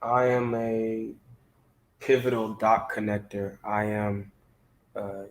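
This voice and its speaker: Man, from Maryland, lowish voice, flat intonation, colloquial, interview guest. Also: slow pace. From Maryland, DC area, United States. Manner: colloquial, peppered with "you know". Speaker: interview guest, talent manager. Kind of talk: casual interview chat.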